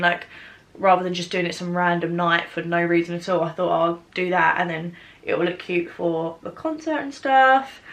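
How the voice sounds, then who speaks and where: A woman's voice: fairly low pitch, fairly bright tone. A young British woman with a stuffy, bunged-up nose, rambling chattily as a beauty vlogger in a get-ready-with-me video. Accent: British English.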